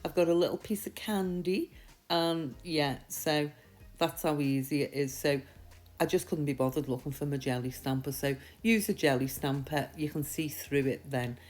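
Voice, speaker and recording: Low, croaky woman. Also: moderate pace, a little mellow. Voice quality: slightly croaky. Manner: informal, chatty, reassuring. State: mild sore throat. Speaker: nail art tutorial host. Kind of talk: casual step-by-step tutorial voice-over.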